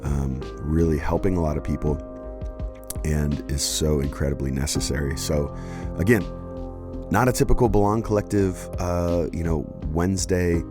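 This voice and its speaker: A man hosting and introducing an interview for his church community, talking earnestly with lots of fillers and quick asides. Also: rumbling low, over music. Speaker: pastor and host.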